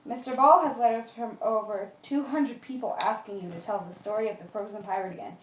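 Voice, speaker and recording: Woman doing cheeky sailor boy, eager, highish voice, radio play, roomy. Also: brisk, slight background noise, natural voice. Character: eager, cheeky young sailor lad Peter. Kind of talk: amateur radio drama acting. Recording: echoey room.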